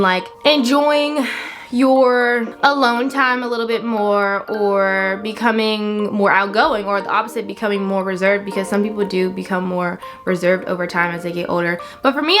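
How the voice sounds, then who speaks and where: A woman's voice: medium pitch, normal speed, fairly bright tone, soft background music. A woman of twenty-one talking casually to her viewers as a vlogger, in an upbeat, encouraging, chatty way with emphatic repetition. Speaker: vlogger hosting own channel. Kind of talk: casual chat to camera.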